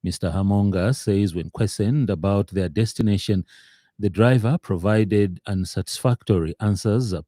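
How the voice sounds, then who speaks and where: A man with a Zambian accent reading a scripted radio news bulletin in a formal, measured way. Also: lowish voice, dark tone, dry recording, clean studio sound.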